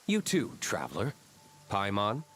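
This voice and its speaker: A man's voice: fairly low pitch, huge pitch swings, unhurried, a bit bright, exaggerated voice. An actor playing a stern, reserved young nobleman in a video game, speaking in a formal, terse and aloof manner. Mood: cool, guarded.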